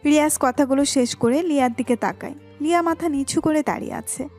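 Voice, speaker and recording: A woman with a high voice narrates a romantic audio story. Her delivery is scripted storytelling, set over a light bed of music. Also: brisk, darkish tone.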